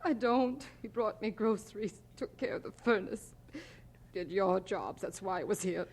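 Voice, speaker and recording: A woman's voice: medium pitch, moderate pace, darkish tone, breathy edge. An actress playing a bitter, grieving young mother, accusing and insistent, in a radio drama. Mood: grieving, reproachful.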